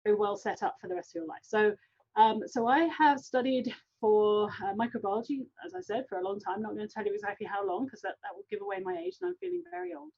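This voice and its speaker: A woman's voice: mid-range voice, lively intonation, quick pace, vocal fry, darkish tone, dull audio. A middle-aged woman with a London English accent, giving an informal, enthusiastic science talk. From London, England. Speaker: guest scientist, university professor. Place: online video call.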